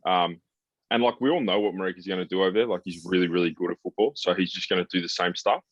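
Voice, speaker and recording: A man with a low voice chats casually about sport as a podcast host. His manner is blunt and laid-back. The sound is dry and close but slightly dull and lo-fi. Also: fairly even intonation, quick pace, no room sound.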